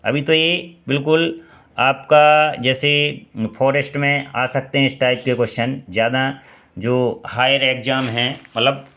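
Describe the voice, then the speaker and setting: Bright-voiced Indian man teaching maths. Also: medium pitch, fairly even intonation, measured pace. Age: 30s. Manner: quizzes listeners and answers himself; repeats key steps and numbers. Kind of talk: classroom-style exam prep lesson.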